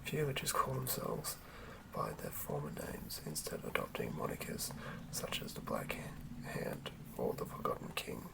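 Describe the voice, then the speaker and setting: Male ASMR narrator, whispered and creaky, hushed measured reading of fantasy lore. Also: rumbling low, huge pitch swings, rough recording. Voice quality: whispered, breathy and gravelly. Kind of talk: ASMR whispered read-through of a fantasy monster manual.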